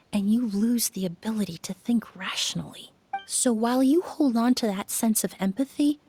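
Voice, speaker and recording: A woman voicing a composed adult perfumer and crime-scene cleaner in a game, speaking in a measured, thoughtful way. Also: mid-range voice, darkish tone, natural voice. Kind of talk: game voice acting.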